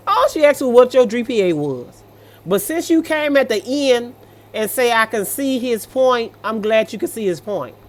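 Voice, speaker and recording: A middle-aged woman, reading stories and reacting in a casual, sassy, motherly, colloquial way. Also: mid-range voice. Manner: motherly, sassy, colloquial, addressing listener as baby. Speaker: host of a story-reaction show.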